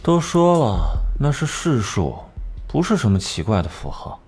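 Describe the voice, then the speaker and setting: A man voicing a sleepy, flippant young occult expert with a drawling, nonchalant delivery in an animated crime drama. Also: mid-range voice, a little slow, faint music behind. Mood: sleepy, grumbling.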